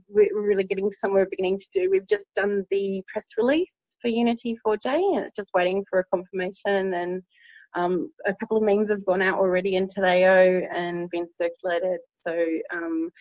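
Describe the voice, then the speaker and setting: A woman from New Zealand with a New Zealand accent, talking as an interview guest in a casual, hesitant way with many pauses and ums. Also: mid-range voice, fairly even intonation, no echo, muffled recording. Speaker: campaigner guest.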